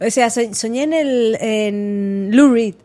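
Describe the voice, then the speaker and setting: Spanish-accented woman from Catalonia, singer as podcast guest; higher-pitched, animated storyteller. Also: slow pace. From Catalonia, Spain. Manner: lively storyteller, acts out quotes, frequent restarts and laughter. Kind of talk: casual interview chat.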